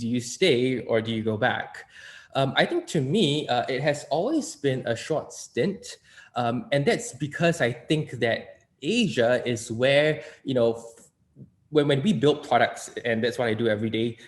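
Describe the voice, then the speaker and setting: A man with a Singaporean accent talks through his answer as a webinar panelist. His speech is loose and conversational, full of hesitant fillers, and comes over a video call. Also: mid-range voice.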